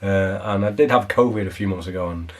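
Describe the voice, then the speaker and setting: A man with a hoarse, fading voice, chatting loosely through a show-and-tell of his collection as a vlogger. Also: deep voice, a little fast. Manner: rambling, loose and chatty, with frequent restarts.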